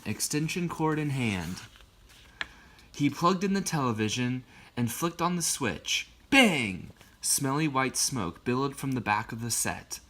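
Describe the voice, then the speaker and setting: A man with a bright, forward voice reads a children's picture book live. He tells it expressively, in the casual way of someone sharing a favorite book. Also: medium pitch.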